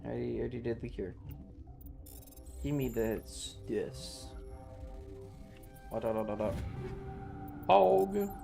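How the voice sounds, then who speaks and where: Gravelly-voiced man streaming a game. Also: mid-range voice, big pitch swings, slow pace, pauses often, music in the background. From Southern United States. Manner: profane, slangy, jokey. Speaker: livestreamer. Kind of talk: casual game stream chat and commentary.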